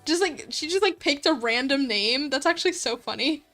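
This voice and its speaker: High, bright-voiced giggly woman livestreamer. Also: animated, normal speed. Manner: giggly, gushing, slangy. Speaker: livestreamer, host. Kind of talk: casual livestream chat with viewers. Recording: background music behind voice. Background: light background music.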